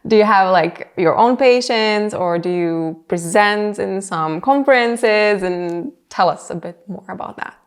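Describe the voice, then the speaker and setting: A woman's voice: mid-range voice, a little slow, few pauses, smooth. A woman hosting a podcast, asking open questions and giving lots of "mm-hmm" backchannels. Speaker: podcast host. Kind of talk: casual podcast interview.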